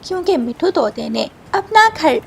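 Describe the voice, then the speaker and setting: A female storyteller delivers scripted narration for children. Her voice is very high, with faint noise in the background. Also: very animated, a little slow, gravelly.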